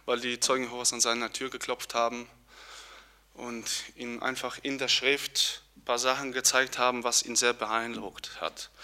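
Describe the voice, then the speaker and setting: A young man from Poland, giving an earnest personal testimony with pauses and restarts. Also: medium pitch, pushed and bright. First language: Polish. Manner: earnest, searching, with fillers and restarts.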